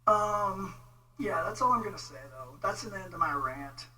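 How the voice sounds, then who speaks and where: A man with a Texan accent giving an informal, halting, exasperated rant on a casual livestream. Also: sits high, animated, crowd noise. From Texas, United States. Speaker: vlogger, religious debate commentator. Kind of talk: casual livestream rant replying to viewer comments. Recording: distant mic with faint noise and hum.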